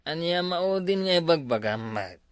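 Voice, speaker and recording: A man's voice: a little high, animated, normal speed, clear, resonant tone, dry recording, studio quality, close to an everyday voice. A man with an Ilocano accent playing a work-shy, drinking village husband in a comedy drama, with a hesitant drawl full of hums. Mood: lazy, evasive.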